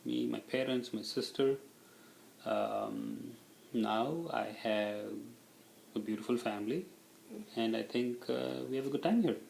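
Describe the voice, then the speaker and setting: Gravelly middle-aged Indian man. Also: medium pitch, measured pace, darkish tone, roomy sound, a little hiss or hum, low-quality recording. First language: Tamil. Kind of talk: casual reflective interview answers.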